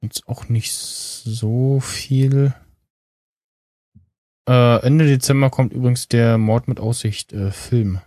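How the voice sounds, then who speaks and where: A man co-hosting a podcast, talking casually and haltingly as he thinks aloud. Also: medium pitch, fairly even intonation, warm tone, no echo, clean studio sound. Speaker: podcast co-host. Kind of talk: casual, unscripted podcast chat about tech and streaming.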